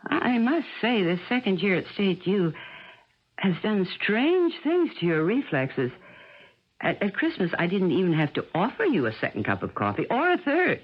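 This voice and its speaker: An actress playing a fussy, affectionate but sharp-tongued middle-aged American mother in a radio drama, chiding and wry. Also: medium pitch, wildly swooping pitch, normal speed. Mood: affectionate teasing. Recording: muffled old radio broadcast.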